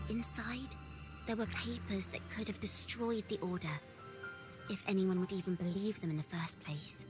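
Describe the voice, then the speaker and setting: A woman's voice: medium pitch, lightly acted. A woman voicing a young female performer in a video game, telling her past in a solemn storytelling manner. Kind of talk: game voice acting. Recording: game audio with music behind.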